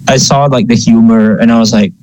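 A man's voice: mid-range voice, fairly even intonation, fairly quick, a little mellow, slight background noise, rough recording. Rambling young man co-hosting a chat. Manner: rambling, hesitant, lots of fillers. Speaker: podcast co-host. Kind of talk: casual chat.

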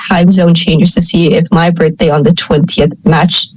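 A woman's voice: sits low, little pitch movement, moderate pace, breathy edge, no echo, rough recording. A young woman from Thailand co-hosting a casual podcast, chatty and playfully wry. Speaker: podcast co-host. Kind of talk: casual chat.

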